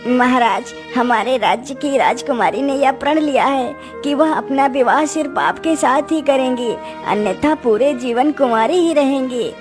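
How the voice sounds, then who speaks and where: A woman voicing an excitable, respectful male townsman in an eager manner. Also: high-pitched, normal speed, few pauses, voice pushed up, natural voice. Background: clear background music.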